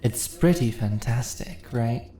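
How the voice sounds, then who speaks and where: A deep, mellow-voiced immortal mistress of a fantasy dungeon, acted by a woman, sly and commanding. She teases and condescends with slow, theatrical persuasion, recorded in an echoey room. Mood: amused, soothing. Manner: teasing, condescending, theatrically persuasive, uses pet names. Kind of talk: scripted fantasy ASMR roleplay. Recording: echoey room with faint noise.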